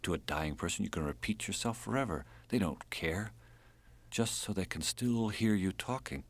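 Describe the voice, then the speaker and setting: A man's voice: deep voice, animated, normal speed, no room sound. A man with an American accent reading a literary audiobook as narrator.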